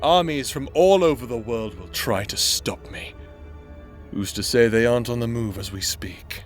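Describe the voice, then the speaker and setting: A man voicing a menacing, scheming male necromancer in a roleplay audio drama, speaking in a calm, threatening, theatrical way. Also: medium pitch, moderate pace, music in the background, natural voice.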